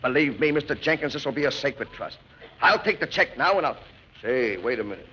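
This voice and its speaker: Slick broke showbiz hustler, quick bright voice, brash, old hissy radio comedy. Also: male voice, mid-range voice, animated. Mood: slick, eager. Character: fast-talking, wheedling, broke male theatrical promoter. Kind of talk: 1940s radio comedy acting. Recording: muffled, hissy 1940s radio.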